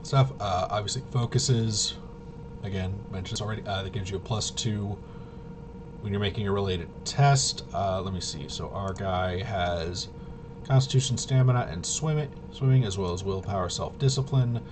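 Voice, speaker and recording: A man casually explaining game rules unscripted, halting and rambling as he thinks aloud. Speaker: tabletop RPG reviewer and explainer. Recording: clearly noisy with low rumble.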